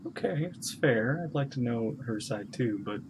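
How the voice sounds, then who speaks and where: A streamer casually reacting live to a game, chatty and prone to loud outbursts. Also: mid-range voice, lively intonation, normal speed, background noise. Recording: voice over loud game music. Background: game music.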